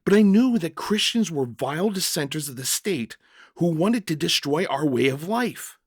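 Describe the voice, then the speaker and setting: Man acting an earnest, bewildered jailer, wildly expressive. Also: fairly high pitch, moderate pace, breathy edge, no room sound, studio quality, pitched above the performer's own voice. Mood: baffled, questioning. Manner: stammering, blurting, dramatic. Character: young-hearted jailer narrator, bewildered, earnest, emotional father. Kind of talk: first-person dramatic story reading.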